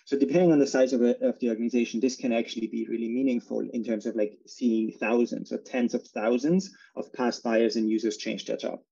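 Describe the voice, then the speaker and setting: A man from Austria talks as a guest, explaining his company in a relaxed way with many fillers. His voice is deeply mellow and dark in tone. The recording sounds muffled and lo-fi. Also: a little fast, vocal fry, hardly any pauses. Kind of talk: casual interview talk.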